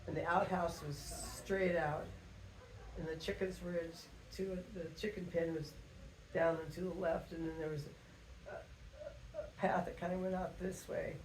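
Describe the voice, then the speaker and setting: An older woman tells family stories like a grandmother at home. Her phrasing is halting and folksy, wandering through small details. The room is echoey, with faint noise underneath. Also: on the low side, steady tone, a little mellow. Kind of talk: casual storytelling reminiscence. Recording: roomy echo with faint background noise.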